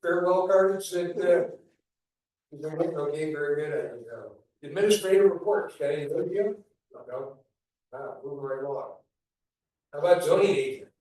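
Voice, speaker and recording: Man, meeting chair, brisk and procedural, slightly higher voice, distant, very echoey hall. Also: mellow. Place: town meeting room. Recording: echoey room, distant mic.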